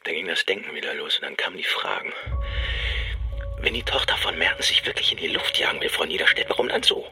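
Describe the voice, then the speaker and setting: A bitter, traumatised policeman confiding in his doctor. The very low voice breaks into halting, breathy fragments and rises and falls widely. It is an intimate radio play monologue with music behind it. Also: lively intonation, sharp tone, heavily acted. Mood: agitated, haunted. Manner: halting, fragmented, often breathy half-whisper.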